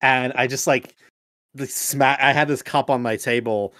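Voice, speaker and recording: Young adult American male, casual storyteller, halting and sweary, dry close sound. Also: mid-range voice, steady tone, bright, forceful tone, no room sound. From United States. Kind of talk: casual group chat, anecdotes.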